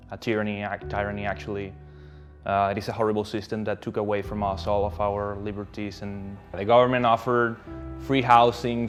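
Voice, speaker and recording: Flat, low-voiced young man. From Venezuela. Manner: steady, earnest, with filler "uh". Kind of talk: personal testimony to camera.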